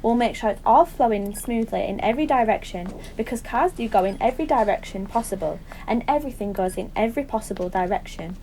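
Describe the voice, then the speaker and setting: Actress doing excitable woman in audio play, high voice, earnest rambling, bit of background noise. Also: fast, hardly any pauses, rough recording. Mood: musing, rambling. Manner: rambling, earnest, seeking agreement. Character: intense, excitable woman musing in tangled associations. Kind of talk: audio drama monologue.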